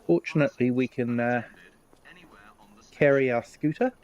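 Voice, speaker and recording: A man's voice: medium pitch, normal speed, a little mellow, lo-fi sound. A man with a New Zealand accent streaming a farming game, chatting casually and reading out viewer comments. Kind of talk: casual live gameplay commentary.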